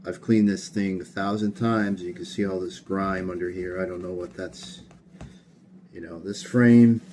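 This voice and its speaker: A tired man with a very low, monotone voice talks his way through a workshop project, rambling and dropping fillers as he goes. A faint hum of background noise sits under his voice. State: tired late at night. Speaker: hobbyist gunsmith. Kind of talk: casual workshop walkthrough. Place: home workshop.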